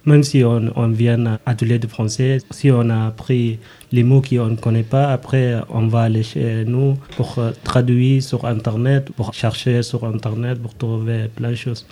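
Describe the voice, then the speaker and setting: A man from Sudan, whose first language is Zaghawa, speaking in hesitant, halting learner French. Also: medium pitch, flat delivery, warm tone.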